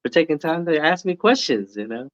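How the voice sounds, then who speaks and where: Laid-back man with a low voice, dry sound. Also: sing-song, quick pace, no room sound, muffled recording. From East Coast of the US. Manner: laid-back, laughs easily, lots of fillers. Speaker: podcast guest. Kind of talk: casual interview chat.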